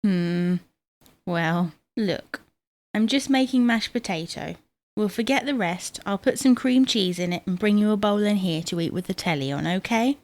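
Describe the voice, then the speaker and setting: A woman's voice: medium pitch, moderate pace, darkish tone, dry recording, natural voice. A woman playing a fussing, no-nonsense middle-aged wife in a domestic audio comedy, chiding and motherly in manner. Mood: firm, soothing.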